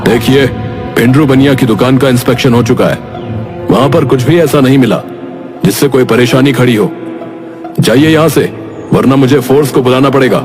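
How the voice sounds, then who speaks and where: A man's voice: medium pitch, normal speed, pauses often, music in the background, natural voice. A man playing a stern, authoritative village police inspector in a cartoon, with a firm, commanding manner. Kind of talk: cartoon voice acting.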